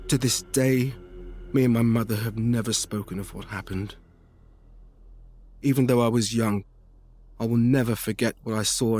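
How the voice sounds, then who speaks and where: A man reading a first-person horror story as scripted narration, in a measured, dramatic storytelling manner. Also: medium pitch. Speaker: guest story reader.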